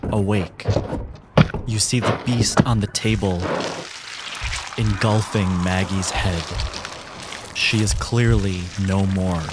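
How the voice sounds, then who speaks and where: A man's voice: lowish voice, steady tone, slow pace, pauses often, loud music behind the voice. A man working as game master, narrating a tabletop game dramatically in the second person.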